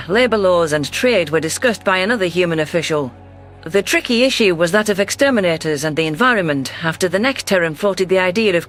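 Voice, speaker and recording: A man's voice: high-pitched, fairly bright tone. A man reading a scripted first-person science fiction story as its narrator.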